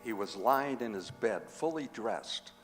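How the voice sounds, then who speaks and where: A man playing the storyteller of a radio drama, narrating a scripted tale in a measured, dramatic way. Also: medium pitch, expressive, over loud music, close to an everyday voice. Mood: measured, suspenseful. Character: male storyteller, measured and solemn. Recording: reverberant performance hall.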